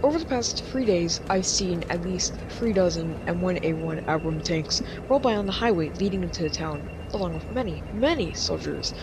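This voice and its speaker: A woman acts out a female war correspondent in a radio news drama, speaking on the lower side from the front line. The report comes through clear outdoor noise with a rumble of vehicles. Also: lively intonation, moderate pace, few pauses. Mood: measured, grave.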